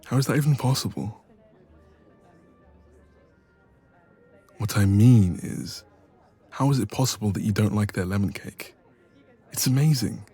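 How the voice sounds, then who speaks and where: Guy acting as a teasing young best friend, soft husky low voice, casual romance audio, music behind. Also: moderate pace. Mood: playful disbelief. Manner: playful, teasing, expressive, soft laughs. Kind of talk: romantic roleplay audio, intimate casual chat.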